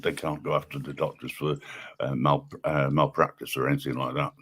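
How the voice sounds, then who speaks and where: A man's voice: flat delivery, brisk, fairly bright tone. A man, hosting a casual livestream and talking with many ums and restarts. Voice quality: deep voice. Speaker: livestream host.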